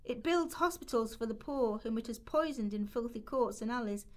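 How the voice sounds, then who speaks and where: A woman with a fairly high voice performs scripted readings for a radio play. She delivers historical quotations as dramatic monologue. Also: animated, moderate pace.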